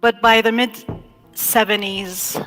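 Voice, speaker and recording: A woman from Iraq telling her family's story in a talk, measured and reflective, pausing often. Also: a little high, fairly even intonation, fairly bright tone, soft background music. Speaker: speaker giving a talk. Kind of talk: slow personal storytelling talk.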